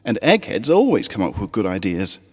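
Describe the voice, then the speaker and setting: A man's voice: lowish voice, wildly swooping pitch, a little fast, long reverb tail. A man voicing a brash, teasing young tomboy filly, a daring pony child in a story reading.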